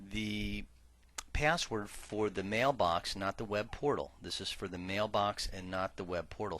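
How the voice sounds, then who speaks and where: A man working as a software trainer, narrating an instructional screen-share tutorial in a measured, explanatory way that stresses key words. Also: deep voice. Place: voice-over for a screen recording.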